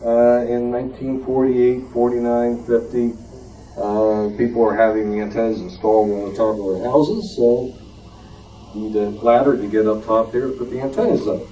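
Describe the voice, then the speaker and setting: Distant, bright-voiced man presenting informally. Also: mid-range voice, steady tone, normal speed. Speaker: club member presenting a collectible. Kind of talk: informal show-and-tell presentation. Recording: distant mic, noisy room.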